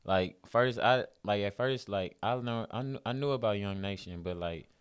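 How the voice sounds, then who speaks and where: A man's voice: fairly low pitch, normal speed, clear, resonant tone, dry recording, clean studio sound. A man in his twenties with a Houston accent, chatting casually as a guest in an interview, laid-back and rambling with lots of fillers. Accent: Houston, Texas. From Houston, Texas. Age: 20s. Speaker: guest musician.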